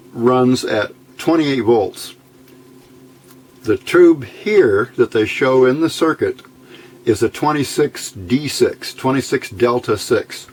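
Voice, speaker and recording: A middle-aged or older man casually explaining technical details as a hobbyist, halting and thinking aloud, recorded indoors at a worktable. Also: mid-range voice. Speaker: hobbyist radio builder giving a tutorial. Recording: noisy amateur home recording.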